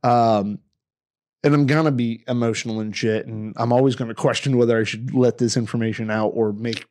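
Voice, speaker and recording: A young man co-hosts a casual podcast chat, swearing in nearly every sentence. He rambles and circles back on his points with open emotion, in a voice of ordinary middle pitch. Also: fairly even intonation, a little fast, a little mellow. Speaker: podcast co-host.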